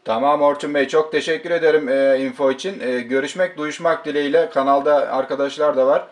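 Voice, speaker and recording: A man's voice: on the high side, fairly even intonation, fairly quick. A man working as an amateur radio operator, speaking politely and courteously as he exchanges call signs and short radio messages.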